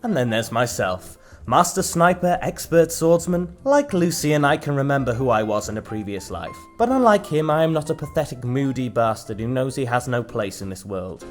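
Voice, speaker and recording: A cynical mercenary swordsman, acted by a man for an audio drama, world-weary and full of himself. His pitch swings widely through sardonic, dramatic speeches, with music underneath. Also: sing-song, moderate pace, close to an everyday voice. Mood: grandiose, sardonic. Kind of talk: audio drama acting with narration.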